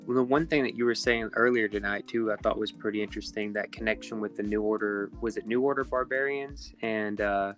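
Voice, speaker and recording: A man's voice: lowish voice, fairly even intonation, fairly quick, hardly any pauses, a bit bright, faint music behind. A man in casual chat, mostly listening and relaying questions.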